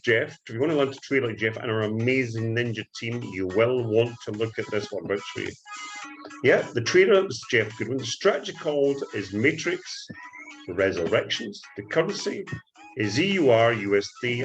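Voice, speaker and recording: A Scottish man giving a trading tutorial, talking up his strategy in an excited salesman patter and often calling out "ladies and gentlemen.". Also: mid-range voice, lo-fi sound. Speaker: forex trading instructor. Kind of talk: screen-share trading tutorial.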